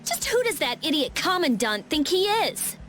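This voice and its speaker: A woman's voice: high-pitched, very animated, moderate pace, fairly bright tone, faint music behind. A woman playing a fierce, hot-tempered young girl in a fantasy video game. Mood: furious. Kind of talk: English game dub acting.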